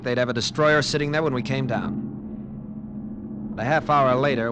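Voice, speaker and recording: An actor playing a haunted, earnest American bomber pilot lieutenant in a vintage radio drama. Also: male voice, natural voice. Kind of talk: 1940s-50s radio drama acting, first-person narration. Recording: noisy old radio recording, vehicle rumble.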